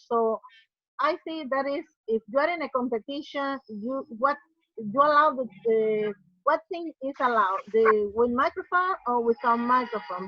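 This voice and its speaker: Woman, slightly high pitch, halting phrasing, muffled call, workshop participant. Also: dry recording. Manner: halting, non-native English phrasing. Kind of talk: halting question and listener responses. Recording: dry, muffled online call audio.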